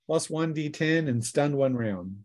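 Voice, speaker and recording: A man's voice: a little high, lively intonation, unhurried, darkish tone. Man casually running a tabletop game. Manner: casual, conversational. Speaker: game master. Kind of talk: narrating a tabletop role-playing game.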